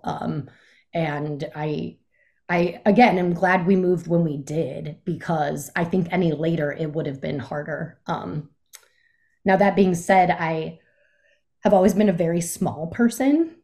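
Chatty woman, slightly low voice. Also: dark tone. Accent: faint Midwest, mostly lost. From Chicago area. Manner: chatty, many fillers and "like"s. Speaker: guest. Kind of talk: casual interview chat.

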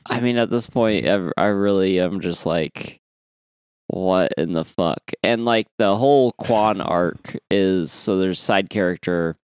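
Clear, low-voiced man co-hosting a podcast. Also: a little slow, a little mellow. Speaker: podcast co-host. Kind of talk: casual comic chat, reading listener emails.

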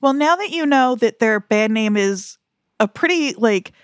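A woman's voice: fairly high pitch, lively intonation, unhurried, dry recording, studio quality. A woman in her thirties co-hosting a podcast, chatting casually and playfully, often laughing. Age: 30s. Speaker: podcast co-host. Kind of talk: casual chat.

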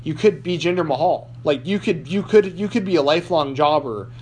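A man's voice: a little high, expressive, fairly quick, darkish tone, slight background noise. A man hosting a casual wrestling podcast, rambling with frequent restarts and fillers. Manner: rambling, lots of likes and restarts. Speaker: podcast host. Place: Nashville.

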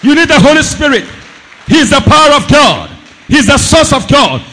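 Man, pastor, church sermon, very high pitch, animated delivery, impassioned. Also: brisk. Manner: impassioned preaching, swings between shouted declarations and low asides. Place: church service.

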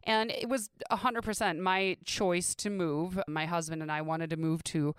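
Podcast host: middle-aged American woman, clean slightly low voice, candid conversational delivery, dry closet recording. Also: fairly even intonation, moderate pace, clean tone, no room sound. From American Midwest. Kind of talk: casual personal podcast monologue. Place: inside a home closet.

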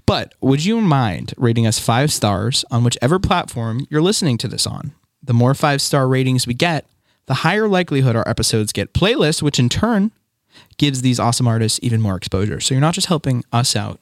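A young man hosting a music podcast, chatting in an animated, giggly way full of fillers. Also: mid-range voice, a little fast, no echo, clean studio sound. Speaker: podcast host. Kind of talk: upbeat podcast chat.

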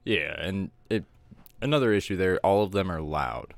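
A soft-spoken young man with a very low, deep voice talks through a podcast chat in a calm, reflective way. The sound is dry and close, with no room around him. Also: normal speed, no room sound. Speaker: podcast co-host. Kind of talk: casual chat.

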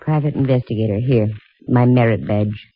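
A woman's voice: a bass voice, normal speed, no echo. An actress playing a wry, confident lady private investigator in a 1950s radio detective drama. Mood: brisk, wry. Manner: wry, slangy, cool. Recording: muffled old radio, music behind.

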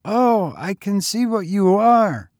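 Male reader as a husky, frail boy tramp; raised pitch, louder, dry. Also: lively intonation, slow, dry recording, exaggerated voice. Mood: pleading. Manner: eager, talkative, fatalistic. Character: frail teenage boy tramp, coughing and hoarse.

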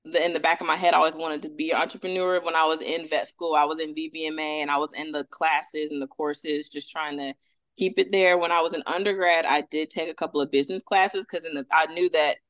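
A woman's voice: low-pitched, steady tone, fast, fairly bright tone, no echo. A woman in her thirties from Atlanta, a veterinarian guest talking casually and upbeat, with many fillers. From Atlanta, Georgia, US. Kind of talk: casual interview.

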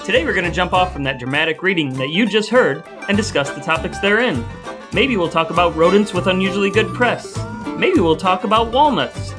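A man's voice: high voice, lively intonation, fairly quick, over music. A man hosting a podcast, moving between scripted introductions and casual banter. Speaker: podcast host.

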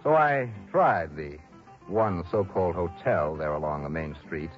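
A dull vintage radio broadcast with faint music carries a wry, brisk insurance investigator. His voice sits low. He delivers his account in punchy, hardboiled style, clipped and dramatic. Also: lively intonation. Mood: dry, matter-of-fact. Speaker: lead actor and narrator of a radio detective series. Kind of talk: 1960s radio drama acting, hardboiled narration.

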